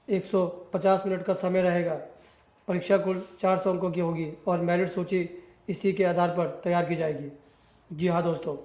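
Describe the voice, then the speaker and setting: A male presenter reads scripted job information in a high, flat voice. He speaks straight to his listeners as he goes through the details. The sound is dull and lo-fi, with clear room echo. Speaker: presenter of job news videos.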